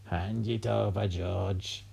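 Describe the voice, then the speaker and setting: A man's voice: on the low side, fairly even intonation, slow pace, gravelly, darkish tone, slightly rough, exaggerated voice. A man voicing a huge, furious old grandmother with a snarling, bossy manner in a read-aloud story. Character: enormous horrid old grandmother, furious and spiteful.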